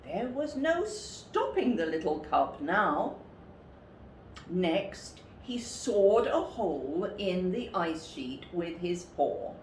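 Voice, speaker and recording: A woman reading a children's story expressively, with a dramatic, deliberate delivery. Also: mid-range voice. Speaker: storybook reader for a school story time. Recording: roomy, distant mic, faint background noise.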